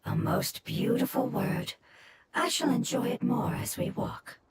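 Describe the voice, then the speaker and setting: Tender formal plant creature, light and melodic, airy delivery, music bed. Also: female voice, a little high, expressive, unhurried, very noisy. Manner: soft, formal, wistful. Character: small gentle plant spirit, formal and tender. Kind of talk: video game dialogue. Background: game music.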